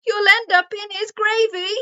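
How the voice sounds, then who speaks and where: A small, frightened forest creature from a children's storybook, put on by a woman. The voice leaps very high and pleads with urgency. It has an alarmed, singsong lilt. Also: fairly even intonation, moderate pace, bright, forceful tone, highs cut off. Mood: pleading.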